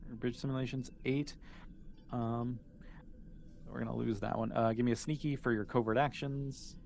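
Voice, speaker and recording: A man's voice: mid-range voice, fairly even intonation, normal speed, vocal fry, a little mellow. A man acting as game master, narrating a tabletop roleplaying game in a casual, amused, improvised way. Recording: noticeable background noise.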